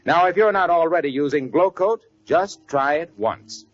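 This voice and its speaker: Very lively American radio pitchman. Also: moderate pace, soft background music. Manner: polished, salesmanlike. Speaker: radio announcer. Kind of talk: 1940s radio scripted ad announcing. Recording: muffled 1940s radio recording.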